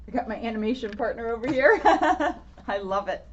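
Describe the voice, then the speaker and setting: A woman's voice: on the high side, expressive, normal speed, lo-fi sound, slight background noise. A woman reading a children's storybook aloud with playful, dramatic emphasis and casual asides.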